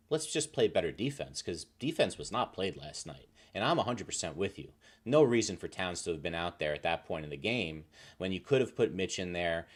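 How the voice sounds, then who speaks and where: Lower-voiced man in casual sports talk. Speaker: sports talk show panelist. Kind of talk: casual unscripted basketball discussion.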